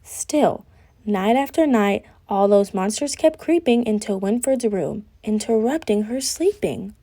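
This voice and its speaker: A woman reading a children's picture book aloud with an expressive, deliberate delivery. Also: mid-range voice. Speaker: storybook reader.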